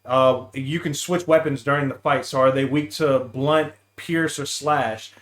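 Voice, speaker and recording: A man's voice: mid-range voice, steady tone, measured pace. A man as a podcast guest chatting casually about games in loose, bro-heavy slang. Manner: slangy, calls the other speaker bro and dude. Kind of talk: casual gaming podcast chat.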